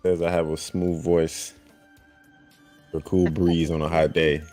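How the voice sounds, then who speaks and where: A man's voice: deep voice, flat delivery, measured pace, darkish tone, soft background music. A man guesting on a casual chat, talking in a laid-back, wry, joking way. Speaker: guest YouTuber.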